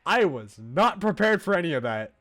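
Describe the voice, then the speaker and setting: A man's voice: high voice, big pitch swings, normal speed, fairly bright tone. A man chatting casually on a livestream as a game streamer, enthusiastic and informal in how he talks.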